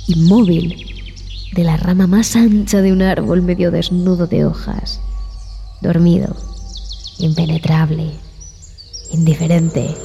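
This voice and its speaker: An actress playing a frightened, repulsed expedition zoologist, narrating a horror audio drama in a deliberate, theatrical way with dramatic pauses. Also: female voice, on the low side, gravelly. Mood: haunted, fearful. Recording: long reverb, music behind.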